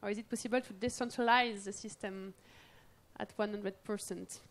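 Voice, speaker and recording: A woman's voice: medium pitch, fairly even intonation, moderate pace. A middle-aged woman, a native French speaker, moderating a panel in English on a handheld mic and laughing often between turns. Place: large event tent with audience.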